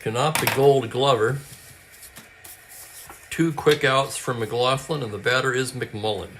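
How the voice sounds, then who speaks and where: A man's voice: mid-range voice, fairly even intonation, noisy background. Play-by-play man calling a tabletop game. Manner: brisk play-by-play calls with stat asides. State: losing his voice, clearing his throat. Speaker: tabletop baseball replay announcer. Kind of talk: play-by-play commentary.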